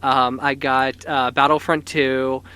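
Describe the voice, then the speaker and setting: A man's voice: medium pitch, flat delivery, slow, sharp tone, smooth. A man of twenty-nine chatting casually and agreeably as a podcast co-host. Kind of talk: casual chat.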